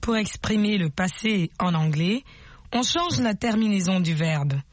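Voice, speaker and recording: A woman's voice: mid-range voice, animated, dry recording. Woman narrating a language course. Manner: slow, clear, explaining. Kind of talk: scripted instructional narration.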